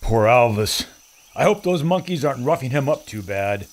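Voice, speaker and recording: An actor playing an excitable young male crew member with gee-whiz, old-serial enthusiasm. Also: mid-range voice, normal speed, lightly acted. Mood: eager. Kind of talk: old-time radio drama acting.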